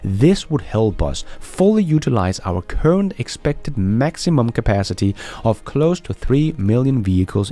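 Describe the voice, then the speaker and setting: A man's voice: medium pitch, warm tone. A man hosting a news commentary show for viewers, enthusiastic and emphatic in his delivery. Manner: enthusiastic, emphatic, chuckling between points. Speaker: host of a Tesla news channel.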